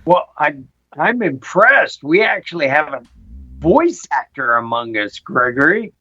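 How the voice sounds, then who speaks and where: A woman's voice: sits low, big pitch swings, measured pace, sharp tone, slightly rough, no room sound. A woman in a casual group chat, effusive and emphatic, given to amazed exclamations, over dull lo-fi call audio. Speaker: audio drama cast member.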